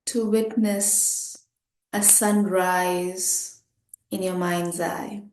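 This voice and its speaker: Very slow, mellow woman guiding meditation. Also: lowish voice, steady tone. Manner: gentle, unhurried, pausing between phrases. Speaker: meditation teacher. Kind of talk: guided meditation, slow and spoken in short phrases. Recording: roomy, echoing sound.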